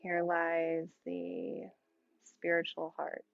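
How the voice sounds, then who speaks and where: Woman, yoga instructor, soothing meditation cues, lowish gravelly voice with vocal fry, long pauses, muffled recording. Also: no echo. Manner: calm, soothing, with long pauses between short phrases. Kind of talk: guided meditation in a yin yoga class.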